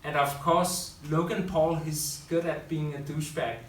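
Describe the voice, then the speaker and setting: A man's voice: a little high, steady tone, a little slow. A man giving a public talk to an audience, loose and unscripted, often correcting himself mid-sentence. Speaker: public speaker and school teacher. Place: room with audience. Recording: echoey room from far off, faint hiss.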